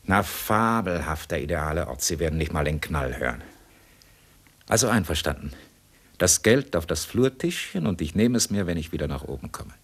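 A cool criminal on the run in a sixties radio crime play. His deep voice swings widely in pitch and has a rough, husky edge. He is mockingly polite and unhurried as he schemes. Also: sing-song, a bit bright, exaggerated voice. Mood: cool, scheming. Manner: suave, sardonic, unhurried. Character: escaped male criminal, cool, sly, mockingly courteous schemer. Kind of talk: 1960s German radio crime drama acting.

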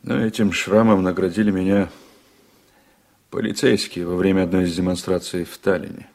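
Low-voiced, shrewd old-hand sailor. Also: male voice, little pitch movement, darkish tone. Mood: confiding, earnest. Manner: deliberate, confiding. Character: seasoned, shrewd communist sailor, loyal, frank and wry. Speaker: actor in a radio play. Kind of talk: 1980s Soviet radio drama acting.

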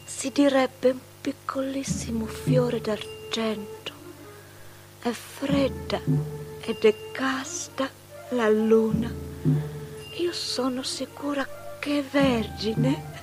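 Haughty young princess, high voice, unhurried and languid, coaxing, radio drama, music behind. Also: female voice. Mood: dreamy, languid. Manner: languid, hushed, drawn-out delivery. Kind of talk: stylized theatrical radio drama.

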